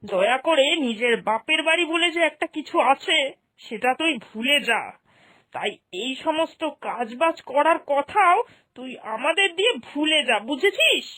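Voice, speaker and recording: A woman playing an exasperated, sharp-tongued older mother and mother-in-law in a cartoon moral story, scolding with reproachful questions. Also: high-pitched, lively intonation, measured pace, heavily acted. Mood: angry, hurt.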